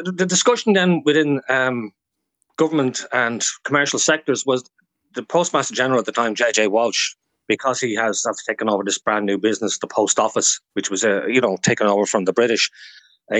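A man's voice: medium pitch, a bit bright, dry recording, poor audio. An Irish man speaking as an expert guest, telling history informally in an interview. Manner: informative, storytelling. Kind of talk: informal explanatory interview talk.

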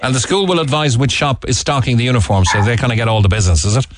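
A man's voice: mid-range voice, very fast, background noise. A man with an Irish accent hosting a radio phone-in show, asking probing, leading questions and reading out listener texts. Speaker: radio talk show host. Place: radio studio.

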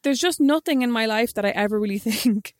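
A woman co-hosting a casual chat with her sister, telling stories quickly and teasing. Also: on the high side, no room sound, studio quality. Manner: teasing, rapid storytelling. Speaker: podcast co-host.

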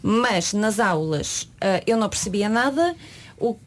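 A woman's voice: mid-range voice, a little slow. A talkative woman in her thirties from Ukraine, with a Ukrainian accent, chatting as a guest in a radio studio. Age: 30s. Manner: lively, talkative, laughs often. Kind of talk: radio interview chat.

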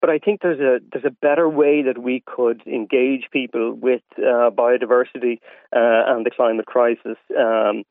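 An Irish man speaking as a wildlife campaigner in a radio interview, measured and full of hesitant fillers. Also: mid-range voice, steady tone, dry recording. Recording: dry tinny phone line.

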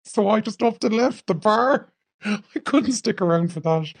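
A woman's voice: animated, a little slow, creaky, breathy edge, no echo. An Irish woman telling a casual anecdote in a voice note, her voice altered by a voice changer. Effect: voice changer lowering the voice. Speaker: anonymous listener sending a voice note.

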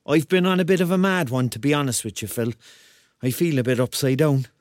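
An actor playing a rambling, excitable Cork man in his thirties, telling his story in a colloquial radio drama style. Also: mid-range voice, brisk, dry recording, clean studio sound, natural voice. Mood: bewildered, eager. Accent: Cork Irish. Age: 30s. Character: rambling, excitable thirty-year-old Corkman on a surreal night out. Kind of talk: radio drama acting, first-person narration and dialogue.

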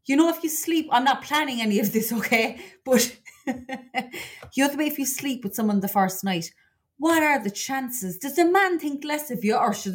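High-voiced Cork Irish woman chatting. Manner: chatty, colloquial, confiding. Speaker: podcast co-host. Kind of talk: casual gossipy chat.

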